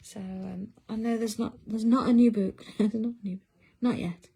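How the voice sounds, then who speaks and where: A woman's voice: a little high, fairly even intonation, moderate pace, gravelly, dark tone, breathy edge. A middle-aged woman, hosting a craft livestream in casual chat and answering viewer comments as she works. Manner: chatty, reads out viewer comments while working.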